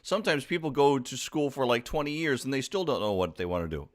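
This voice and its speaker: A man's voice: mid-range voice, expressive, fast talker. A man hosting a live talk show, upbeat and exclamatory, drawing out his words as he introduces and questions a guest.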